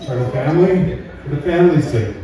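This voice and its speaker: A man's voice: a little high, a little fast, dark tone. A middle-aged Canadian man doing a folksy, rambling stand-up comedy routine, often checking in with the crowd. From Alberta, Canada. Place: live comedy venue indoors. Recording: very echoey room, far from mic.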